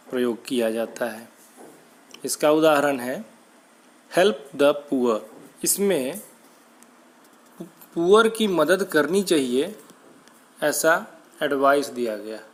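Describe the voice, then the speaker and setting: A man with an Indian accent teaching a grammar lesson, explaining deliberately and spelling out examples word by word. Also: on the high side. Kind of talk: scripted instructional lesson narration.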